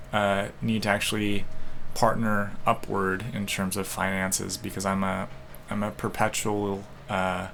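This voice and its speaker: Young guy rambling through a quiz, lowish voice with some fry, lots of ums and restarts. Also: fairly bright tone, slight background noise. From United States. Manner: hesitant, rambling, many restarts and fillers. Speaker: podcaster. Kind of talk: casual solo talk while taking an online quiz.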